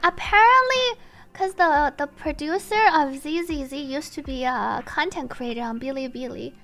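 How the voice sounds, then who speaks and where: A woman's voice: sits high, normal speed, fairly bright tone, faint music behind. A woman game streamer with a chatty, giggly manner, casually reacting and reading subtitles aloud.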